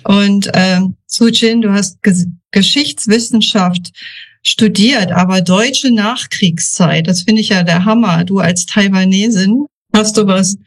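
Woman, podcast host, friendly interviewer, unhurried delivery. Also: medium pitch. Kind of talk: interviewing guests.

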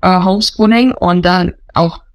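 A woman's voice: fairly low pitch, measured pace, dry recording. A woman in her thirties, a missionary, telling her story in an interview, earnest and often restarting her sentences. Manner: earnest, searching for words, restarts. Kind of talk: casual interview talk.

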